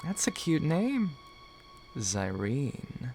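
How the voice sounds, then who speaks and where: An actor, a man, playing a brash, taunting young female rebel mech pilot in an audio drama, with a teasing, excitable delivery. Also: on the high side, very slow, over music, full character voice. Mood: mocking, teasing.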